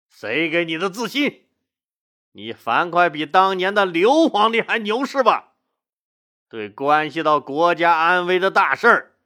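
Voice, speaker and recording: A storyteller voicing a combative, blunt male court officer, scolding forcefully with scornful rhetorical questions. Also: mid-range voice.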